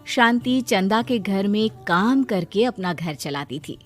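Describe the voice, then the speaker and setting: A woman's voice: medium pitch, animated, slow pace. A woman narrator reading a scripted moral tale in a storytelling style. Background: background music.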